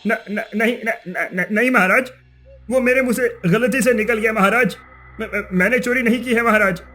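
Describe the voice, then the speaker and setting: Cartoon villain, male actor as scheming village headman: very high voice, flustered, stammering, pleading. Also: moderate pace, sharp tone, exaggerated voice. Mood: frightened denial. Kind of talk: Hindi cartoon moral-story dialogue.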